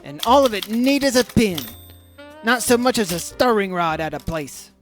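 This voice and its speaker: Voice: medium pitch, lively intonation, moderate pace, faint music behind, natural voice. An actor playing an unflappable insurance investigator, narrating a case in old radio detective style, wry and matter-of-fact. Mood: dry narration. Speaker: narrator and lead character.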